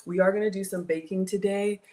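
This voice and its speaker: A woman's voice: medium pitch, fairly even intonation, brisk. A woman born in Ghana and raised in the American South, hosting a casual live cooking stream from her home kitchen, chatting with viewers and reading their comments aloud. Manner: chatty and warm with viewers, thanks supporters, says "y'all". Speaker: cooking livestream host.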